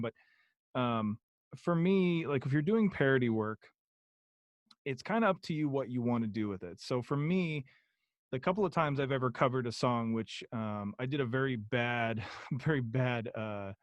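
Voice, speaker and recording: A man's voice: mid-range voice, dry recording. Rambling man, dry close sound. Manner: rambling, many ums and restarts. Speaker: podcast guest, musician. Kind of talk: casual interview chat.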